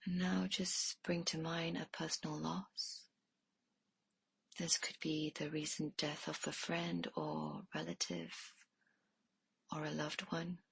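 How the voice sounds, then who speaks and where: Slow, breathy woman guiding meditation. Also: sits low, level tone, no room sound. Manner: soft, breathy, hushed delivery with pauses. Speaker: meditation guide. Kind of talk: guided meditation.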